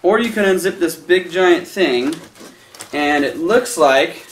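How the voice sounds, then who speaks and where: A man's voice: a little high, animated, slow, slight background noise. Chatty man reviewing gear, echoey room. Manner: enthusiastic, chatty, addresses viewers as guys. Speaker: product reviewer. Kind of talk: casual unboxing review. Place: small indoor room with a decorated Halloween backdrop.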